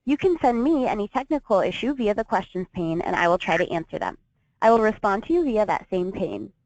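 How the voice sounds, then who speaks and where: A woman hosting a webinar, reading a clear, orderly introduction with housekeeping notes. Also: medium pitch, very animated, a little fast, a little mellow. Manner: clear, orderly announcer delivery. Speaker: webinar organizer and host. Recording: dull, dry lo-fi sound.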